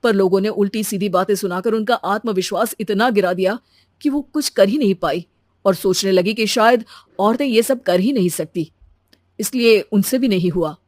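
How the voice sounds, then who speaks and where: A woman playing a reasonable, supportive young man, a son and husband, in a cartoon moral story, calm and explanatory. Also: mid-range voice, normal speed, no echo, studio quality, natural voice. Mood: calm, explaining.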